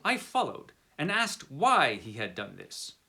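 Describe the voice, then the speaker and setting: A man narrating a gothic tale with theatrical flair, leaning hard into the drama. His voice is bright and forceful. He keeps a middling pitch for a man. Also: wildly swooping pitch, a little slow, gravelly. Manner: theatrical, dramatic narration with wide swings in emphasis. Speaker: reader of a classic horror story. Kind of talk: melodramatic story reading.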